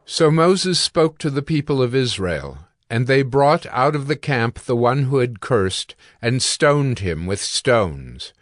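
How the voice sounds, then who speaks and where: A man narrating scripture in a slow, deliberate manner. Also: medium pitch, sing-song, no echo, studio quality. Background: faint music.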